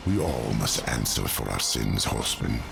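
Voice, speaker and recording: A man playing an ancient, weary, remorseful angel of death in a video game, with a gravelly voice and slow, grave delivery. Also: sing-song, music in the background, full character voice. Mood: resigned, solemn. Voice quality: gravelly, very deep. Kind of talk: dramatic video game cutscene acting. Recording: loud orchestral score behind voice.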